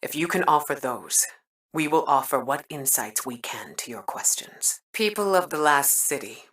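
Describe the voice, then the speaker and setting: An actress playing a stern, principled military commander in a video game, speaking formally and deliberately with a measured, weary air. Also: female voice, deep voice, lively intonation, fairly bright tone, far from the mic. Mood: formal, earnest. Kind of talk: dramatic video game voice acting.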